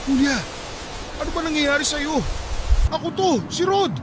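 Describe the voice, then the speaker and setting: A man's voice: extremely high pitch, normal speed, background noise. An actor playing a caring, worried middle-aged husband and father in a radio drama, speaking in a concerned, coaxing way. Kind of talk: Tagalog radio drama acting.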